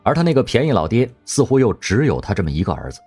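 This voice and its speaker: A man narrates an audio drama with a low, slightly husky voice. His delivery is wry and expressive, swinging widely in pitch as he tells the story. Soft music plays faintly behind him. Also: fast talker. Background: faint background music.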